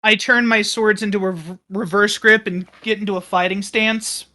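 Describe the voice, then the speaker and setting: Animated woman playing a tabletop game. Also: mid-range voice, moderate pace. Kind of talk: casual, playful roleplay chat.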